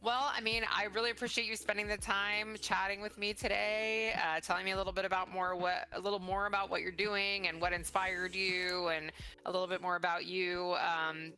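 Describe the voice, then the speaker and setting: A woman's voice: medium pitch, little pitch movement, fairly quick, bright, forceful tone, soft background music, poor audio. A middle-aged woman interviewing in a casual, chatty way, with an encouraging, supportive manner. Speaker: interviewer, mother of the guest.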